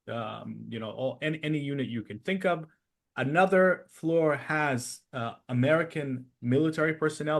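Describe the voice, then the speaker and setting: A man with a mid-range voice hosts a current affairs podcast. He speaks in a measured, explanatory way, pausing now and then as he lays out his commentary. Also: mid-range voice. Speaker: podcast host. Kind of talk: interview and news commentary.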